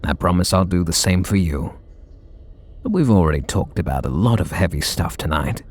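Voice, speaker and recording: A man voicing a flustered, tender super villain in an ASMR roleplay, speaking softly and intimately. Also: lively intonation, moderate pace. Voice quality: low gravelly husky voice. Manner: soft and intimate, bashful.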